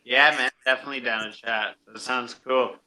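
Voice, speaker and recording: A man's voice: medium pitch, fairly even intonation, moderate pace, pushed and bright. A man with an American accent hosting a casual live show in an upbeat, encouraging, slangy way. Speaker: radio show host.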